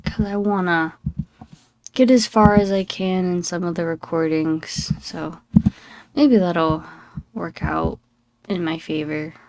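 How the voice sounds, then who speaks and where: A young woman chatting through a game session in a slow, unhurried drawl, her voice a little scratchy from just waking up. She sits somewhat low in pitch and sounds dry and close. She rambles, apologizes and pokes fun at herself. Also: fairly even intonation, a little mellow, no room sound. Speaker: gaming channel host. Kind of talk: casual gameplay commentary.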